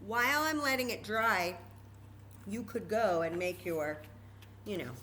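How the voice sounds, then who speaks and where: A woman's voice: medium pitch, animated, a little slow, a bit bright, a little hiss or hum. A woman presenting a children's story time craft, explaining each step informally and patiently and repeating key words.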